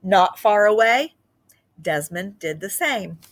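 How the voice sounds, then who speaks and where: A woman teacher reading a picture book aloud to her class in an expressive, sing-song way. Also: mid-range voice, slow. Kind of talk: storybook read-aloud for children.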